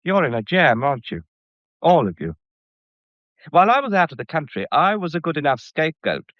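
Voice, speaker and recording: An actor playing an insolent, scheming English blackmailer in a mystery radio drama, talking with smug, deliberate menace. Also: male voice, a little high, big pitch swings, no echo. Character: insolent, scheming male gallery employee turned blackmailer. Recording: dull, muffled radio drama recording.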